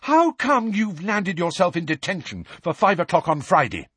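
A fierce young woman captain barks and snarls demands, as a male narrator puts her on. Her voice is pushed high and loud, with a husky edge and lively swoops. It is a storybook reading in a dry, close sound. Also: moderate pace, dry recording. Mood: furious. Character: furious young woman sports captain, bossy.

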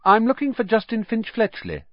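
A man's voice: high voice, huge pitch swings, moderate pace, no room sound, voice pushed up. A man voicing an earnest, easily angered young schoolboy wizard hero in a storybook, tense and indignant. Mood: urgent. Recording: dull, muffled lo-fi audiobook recording.

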